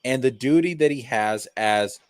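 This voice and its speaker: A man's voice: mid-range voice, moderate pace, clean tone, dry recording, studio quality. A man debating casually with friends as a panelist, emphatic, exasperated and profane.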